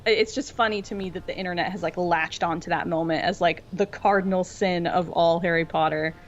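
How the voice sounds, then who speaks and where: A woman with a slightly low voice co-hosts a casual discussion. She is wry and informal, and the recording is muffled with some faint background noise. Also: fairly even intonation, brisk. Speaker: podcast co-host. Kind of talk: casual pop culture discussion. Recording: dull, noisy room recording.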